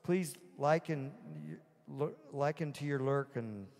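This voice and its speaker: A man's voice: medium pitch, unhurried, darkish tone. A middle-aged man with a Southern US accent, chatting casually between songs as host of his livestream. He rambles, chuckles and loses his train of thought. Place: small indoor stage with curtains. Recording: roomy reverb with guitar music behind. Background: live guitar and backing music.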